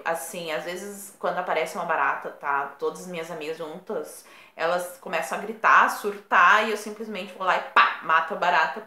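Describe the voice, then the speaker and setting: A woman's voice: lowish voice, sharp tone, roomy sound, slight background noise. A woman in her thirties with a Rio Grande do Sul Brazilian accent, chatting playfully and expressively to camera in a small room. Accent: Rio Grande do Sul Brazilian Portuguese. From born in São Paulo, raised in Rio Grande do Sul. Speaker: YouTuber. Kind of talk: casual vlog to camera. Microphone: camera at close range.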